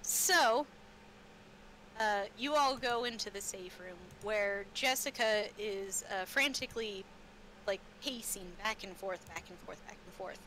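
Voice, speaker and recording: A woman narrating a tabletop game as game master, improvising haltingly and repeating phrases for emphasis. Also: mid-range voice, pushed and bright, poor audio. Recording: clear background noise, room ambience.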